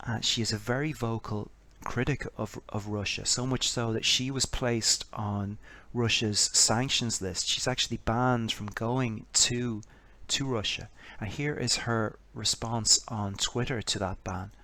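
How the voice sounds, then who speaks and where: A man giving scripted political commentary in a measured, explanatory way, posing a string of rhetorical questions. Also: medium pitch, fairly even intonation, pushed and bright.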